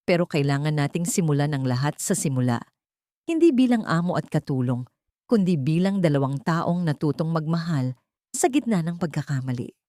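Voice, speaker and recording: A humble young heroine of a romantic audio story, acted by a woman narrator. She speaks earnestly and tenderly in a lowish voice. The recording is close and dry. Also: expressive, normal speed, mellow, no room sound. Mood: tender resolve. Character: gentle, forgiving young woman, humble and sincere.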